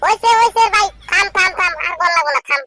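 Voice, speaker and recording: A man voicing an inquisitive, impatient village friend in a comedy cartoon, with a rural Bangladeshi accent and a squeaky pitched-up voice. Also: fast talker, noisy background.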